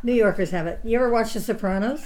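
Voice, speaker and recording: A woman's voice: on the high side, fast talker, a crowd in the background. An older woman from New York with a slight New York accent, hosting a casual radio chat with a laughing, self-mocking manner. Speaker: host.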